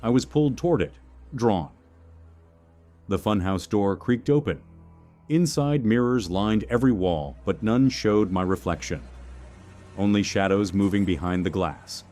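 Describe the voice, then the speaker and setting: A man with a very low voice narrates a scary first-person tale. He delivers it in measured, clipped phrases that hold the suspense. Soft music plays underneath. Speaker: horror story narrator.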